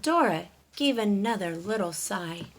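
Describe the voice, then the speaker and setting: Slow-paced woman reading to young children. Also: medium pitch, animated, a little hiss or hum. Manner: instructive, explanatory. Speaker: storyteller, teacher. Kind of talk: read-aloud storytelling for young children.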